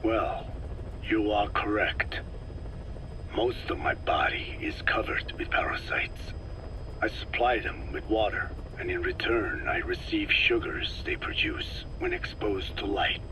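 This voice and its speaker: Game role: male actor as century-old scholar, whispery and low, formal, measured storyteller, on noisy tape. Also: lightly acted. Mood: calm, wry. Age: older. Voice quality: breathy, whispery, aged voice. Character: ancient soft-spoken scientist kept alive by parasites. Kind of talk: dramatic video game dialogue. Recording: noisy cassette tape with vehicle-like rumble.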